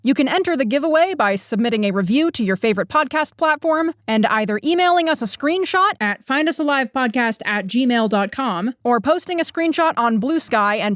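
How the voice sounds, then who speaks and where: A woman's voice: fairly high pitch, no room sound, clean studio sound. A woman giving a scripted show announcement as a podcast host.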